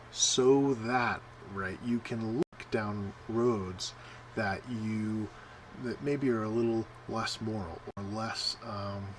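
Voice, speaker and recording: A man's voice: on the low side, a bit bright, a little hiss or hum, poor audio. A man giving an informal sermon, rambling with many "uh"s and often asking "right?". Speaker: preacher.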